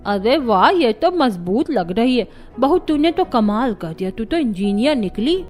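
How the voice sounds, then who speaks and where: A woman's voice: on the high side, very animated, a little slow. A woman voicing a grumbling older mother-in-law in a cartoon story, plaintive, exclaiming and melodramatic. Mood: impressed. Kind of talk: Hindi cartoon story voice acting.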